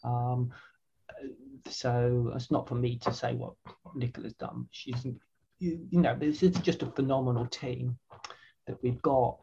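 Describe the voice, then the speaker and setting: A woman's voice: extremely low pitch, level tone, a little slow, gravelly, mellow, lo-fi sound, a little hiss or hum. An older woman, earnestly answering interview questions with frequent restarts and repeated words. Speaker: website founder, guest.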